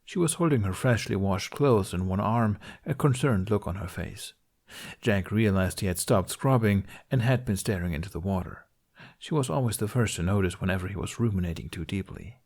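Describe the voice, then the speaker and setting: A man's voice: on the low side, normal speed, a little mellow. A man reading a fantasy story aloud as a scripted audiobook-style narration. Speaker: podfic narrator reading fan fiction.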